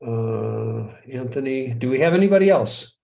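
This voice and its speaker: A man's voice: medium pitch, lively intonation, normal speed, vocal fry, a little mellow. A middle-aged American man hosting a live webinar in a casual, chatty way, joking at his own expense and chuckling often. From United States. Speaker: webinar host.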